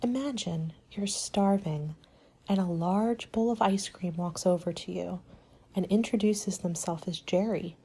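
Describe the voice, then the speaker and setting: Soft, mellow woman in an ASMR roleplay. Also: lowish voice, unhurried, breathy edge. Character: helpful, attentive monster party planner. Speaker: roleplay performer as a party planning agent.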